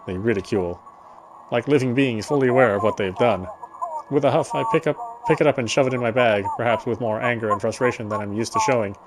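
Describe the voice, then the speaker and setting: A man reading a visual novel's text aloud as dramatic narration, solemn and a little halting. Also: medium pitch, noisy background. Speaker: game player reading story text aloud.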